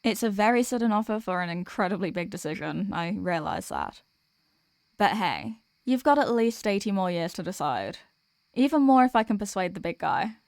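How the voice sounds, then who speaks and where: A woman's voice: mid-range voice, big pitch swings, moderate pace, a little mellow, no room sound. Woman acting a sweet, weary demoness girlfriend, close and dry. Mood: coaxing, hopeful. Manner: chatty, affectionate, intimate address to a partner. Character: sweet, weary demoness girlfriend venting about office work. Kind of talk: ASMR girlfriend roleplay.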